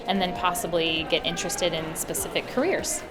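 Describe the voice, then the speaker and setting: A woman's voice: lowish voice, fairly even intonation, a little fast, rough recording. An American woman speaking as an education program coordinator in a promotional interview. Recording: music bed under speech. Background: background music.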